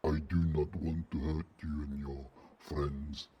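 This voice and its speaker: A man voicing a gigantic, peaceable troll in an audio drama, husky and ponderous, with broken grammar and a wariness of violent humans. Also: extremely low pitch, level tone, takes it slowly, pitched below the performer's own voice, full character voice. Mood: calm, weary resolve. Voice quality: husky, dark voice.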